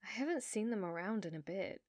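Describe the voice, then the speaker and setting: A young woman with a British accent acting a sweetly manipulative, teasing girlfriend in an intimate, soft-spoken ASMR roleplay. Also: mid-range voice, expressive, brisk, no room sound, clean studio sound, close to an everyday voice. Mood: casual, coy. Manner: coy, soft-spoken, teasing with feigned innocence.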